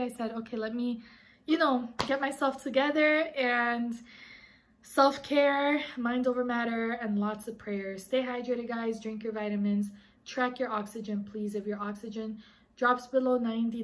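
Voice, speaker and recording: A woman vlogger with a slightly raspy, hoarse voice as she recovers from illness, talking in an earnest, encouraging way and addressing her viewers directly. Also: fairly high pitch. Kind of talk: casual advice vlog.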